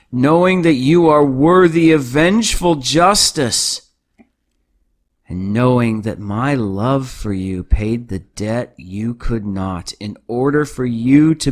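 A man playing God as a gentle, loving deity in a devotional audio drama, speaking with calm, soothing assurance. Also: medium pitch. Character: gentle, loving male God, patient and tender.

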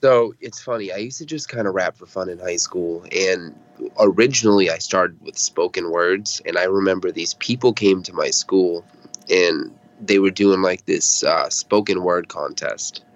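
A man's voice: low-pitched, normal speed. A man in his twenties from Massachusetts, a rapper telling his story candidly and casually as an interview guest, on slightly dull audio. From Massachusetts, United States.

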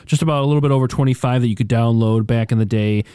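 Casting note: relaxed male podcast co-host, mid-range voice, dry close mic, easygoing. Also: medium pitch, very fast, clear, resonant tone, no echo. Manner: easygoing, self-deprecating, reads from notes. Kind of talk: casual chat.

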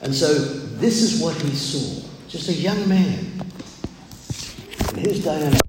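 An older man with a loud voice preaches a sermon in a measured, deliberate manner with frequent pauses. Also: on the high side, expressive. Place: large echoey room, speaking to a congregation. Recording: very echoey hall, clear background noise.

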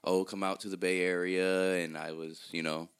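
A young man with a very low, gravelly voice chats on a podcast as a guest. He talks in a relaxed, rambling way, swearing freely and trailing off mid-thought. He grew up in Virginia. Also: moderate pace. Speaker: podcast guest, music producer. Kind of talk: casual podcast chat.

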